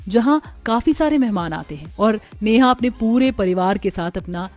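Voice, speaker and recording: A woman's voice: mid-range voice, lively intonation, normal speed, darkish tone, faint music behind. A woman narrating a scripted cartoon story.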